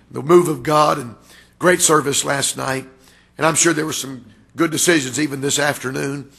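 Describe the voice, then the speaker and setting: A man preaching a revival sermon with a raspy voice, building to loud, emphatic, drawn-out delivery. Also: a little high. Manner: rises to loud emphatic preaching, stretched words. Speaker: guest preacher.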